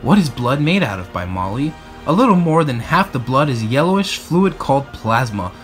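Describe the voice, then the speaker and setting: Animated man reading a children's storybook. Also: medium pitch, normal speed, soft background music. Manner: expressive, playful. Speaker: storybook reader. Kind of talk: animated read-aloud narration for children.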